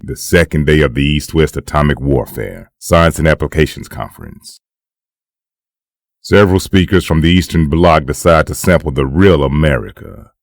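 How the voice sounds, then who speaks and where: Man acting a very deep, gravelly narrator. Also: no echo, studio quality, heavily acted. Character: grave male comic-book narrator setting grim scenes. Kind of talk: scripted dramatic narration.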